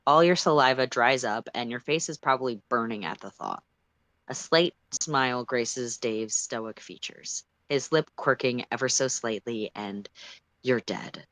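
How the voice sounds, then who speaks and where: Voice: mid-range voice, measured pace, fairly bright tone, no echo, low-quality recording. A storyteller reading fan fiction aloud in the second person, with an emphatic, dramatic and exasperated delivery. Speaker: storytime livestream reader.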